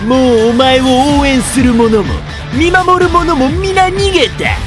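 A man's voice: very high voice, sing-song, measured pace, full character voice. A man playing a cocky, boastful, hot-blooded hero in an anime video game. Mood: cocky defiance. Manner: brash, shouting, boastful. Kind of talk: Japanese anime game acting. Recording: music behind the voice.

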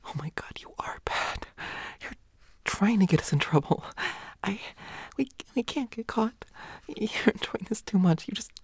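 Breathy, whispering nervous schoolboy, acted by a woman. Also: mid-range voice, expressive, unhurried. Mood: nervous, pleading. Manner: hesitant, stammering, pleading. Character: nervous, pouty, flustered teenage schoolboy. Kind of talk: whispered audio roleplay.

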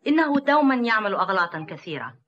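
Woman narrating a children's cartoon, mid-pitched. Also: sing-song, very fast, dull audio.

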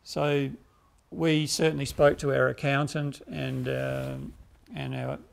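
A grain grower in his fifties with an Australian accent answers questions outdoors on a farm, speaking through a clip-on mic. His mid-range voice has a strong gravelly fry. He speaks in a measured way, often restarting and repeating words. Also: mid-range voice, big pitch swings. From South Australia. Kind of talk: documentary interview answers.

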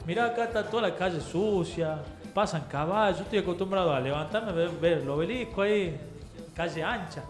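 A man's voice: sits high, brisk. A young man with an Argentine accent, hosting a radio show and joking with his co-hosts in a studio. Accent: Argentine Spanish. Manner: playful, boastful, mock Italian. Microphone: studio mic with headphones. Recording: roomy studio with music behind.

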